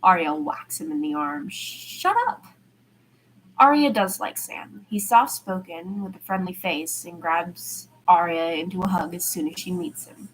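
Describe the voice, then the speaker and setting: A woman's voice: on the low side, moderate pace, few pauses, a little hiss or hum, rough recording. A woman narrating a story as an amateur audiobook reader, informal, with the occasional small stumble. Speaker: podfic narrator. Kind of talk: amateur fiction reading with character dialogue.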